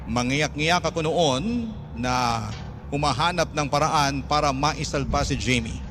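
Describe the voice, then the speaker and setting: A man's voice: on the high side, expressive, measured pace. A man narrating a letter-style story in an earnest, emotional manner. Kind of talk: scripted drama narration. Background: loud background music.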